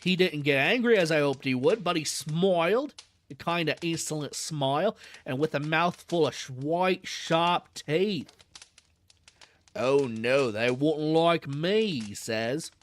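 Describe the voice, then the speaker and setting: A man reading aloud and voicing a gruff old male zookeeper, grumbling in a rough dialect with dropped h's. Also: a little high, slow pace.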